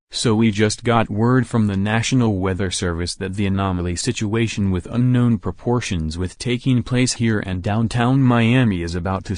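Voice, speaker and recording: A man's voice: on the low side, a little mellow, no room sound, studio quality. A man working as a radio announcer, delivering a forecast and station patter.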